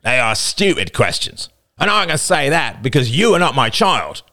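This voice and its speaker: A man performs a scowling leader of a wilderness tribe in an audiobook. He is wary and scornful, used to command, and his pitch moves about widely. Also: sing-song, normal speed, pushed and bright.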